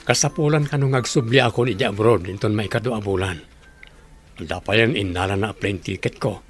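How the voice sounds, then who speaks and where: A man's voice: fairly low pitch, moderate pace, soft background music, close to an everyday voice. A man with an Ilocano accent playing a responsible, practical father in a radio drama. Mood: matter-of-fact. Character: responsible father who works overseas.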